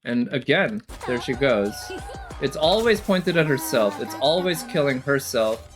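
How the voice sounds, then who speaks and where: A man's voice: mid-range voice, animated, measured pace, music in the background. A man giving casual, off-the-cuff reaction commentary as a streamer, thinking aloud analytically with casual swearing.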